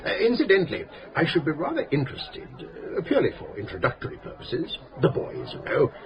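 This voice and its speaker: An actor playing a pompous, long-winded English school headmaster in a radio drama, speaking in formal, pedantic, hesitant phrases. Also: male voice, a little high, wildly swooping pitch, a little fast, muffled recording. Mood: hesitant, curious. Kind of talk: British radio drama acting. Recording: radio play with busy pub chatter.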